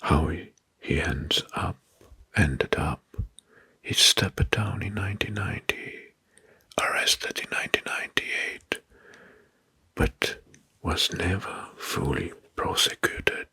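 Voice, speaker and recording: A man narrating history as ASMR in a breathy, hushed whisper, deliberate and gently repetitive. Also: low voice, dry recording. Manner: slow, deliberate, often repeating names and phrases softly.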